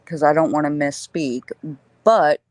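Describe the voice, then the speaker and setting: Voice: medium pitch, a little slow, a little mellow, dry recording. A person chatting casually on a live stream, choosing words carefully and hesitantly.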